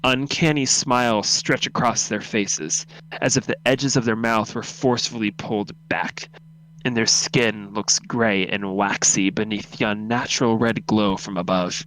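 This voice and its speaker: A man's voice: fairly low pitch, steady tone, a little slow, a bit bright, low-quality recording. A man narrating a second-person horror story as a live radio drama read-through.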